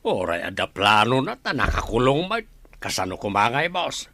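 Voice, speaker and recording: A man's voice: medium pitch, sing-song, moderate pace, sharp tone, no room sound, exaggerated voice. A man playing a plain-spoken henchman guard in an audio drama, uneasy and deferential. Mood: reporting, deferential. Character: deferential male henchman guard, uneasy but loyal to boss. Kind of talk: Ilocano audio drama acting.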